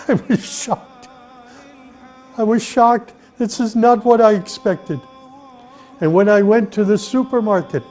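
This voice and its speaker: A middle-aged man from the United States, earnestly telling his own life story at an unhurried pace, with pauses and restarts. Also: sits high. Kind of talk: personal testimony, reflective storytelling. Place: Saudi Arabia. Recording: soft music behind the voice.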